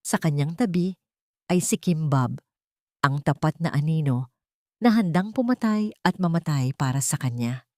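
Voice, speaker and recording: A woman with a low voice tells a melodramatic story from a script. She works as an audio drama narrator, in a close, dry recording. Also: lively intonation, moderate pace, pauses often, darkish tone, no echo.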